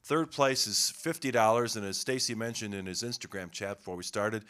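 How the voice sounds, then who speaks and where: A man's voice: lowish voice, brisk, fairly bright tone. A man co-hosting a sports podcast, giving a sponsor read with a polished, announcer-like delivery. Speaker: podcast co-host.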